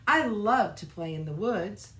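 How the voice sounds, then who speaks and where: A woman voicing a small, timid, excitable young male fox in a children's storybook, exclaiming eagerly. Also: medium pitch, a little slow, voice pushed up. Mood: eager, pleading. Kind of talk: children's storybook read-aloud.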